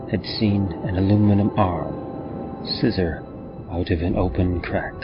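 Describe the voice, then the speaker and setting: A man narrating horror stories and poetry in a measured, solemn, theatrical style, like a radio drama. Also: sits low. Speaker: narrator and co-host. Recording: muffled audio over eerie music.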